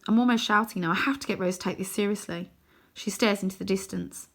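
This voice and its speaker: A woman reading a children's story aloud as expressive scripted narration. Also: mid-range voice, quick pace. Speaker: storybook reader.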